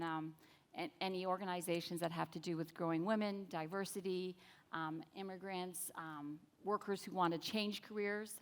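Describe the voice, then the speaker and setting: A woman speaking openly and confidently as a conference panelist. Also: fairly low pitch. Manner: open, confident. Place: conference stage. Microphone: microphone.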